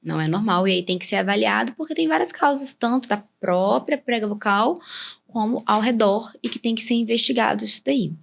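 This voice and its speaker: Brazilian woman doctor explaining clearly. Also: on the high side, animated, a little fast. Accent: Brazilian Portuguese. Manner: didactic, clear explanations with simple comparisons. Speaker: ear, nose and throat doctor, guest. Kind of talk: explanatory interview talk.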